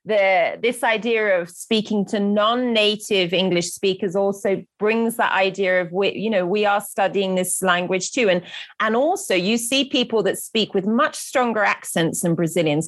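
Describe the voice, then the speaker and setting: A woman English teacher talking casually and thoughtfully, slowing down on the points she wants to make. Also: medium pitch, no echo. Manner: thoughtful, many restarts, slows on key points. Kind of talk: casual conversation.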